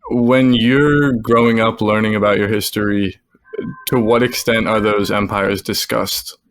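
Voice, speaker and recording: A man's voice: on the low side, fairly even intonation, a little slow, no echo. A man from the United States hosting a history podcast, asking a guest questions with curiosity and frequent laughter, over a call. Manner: curious, friendly, laughs often. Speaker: podcast host. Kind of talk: interviewing a guest.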